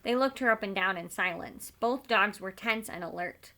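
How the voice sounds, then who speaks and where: A woman's voice: mid-range voice, normal speed, bright, forceful tone. A woman speaking as a teacher, talking informally to her pupils and reading a storybook aloud.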